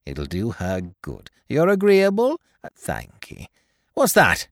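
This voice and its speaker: Tackleton, a man doing a shrill sneering merchant. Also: wildly swooping pitch, slow pace, creaky, no echo, clean studio sound, exaggerated voice. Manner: sarcastic, loud and sharp. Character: sneering harsh male toy merchant.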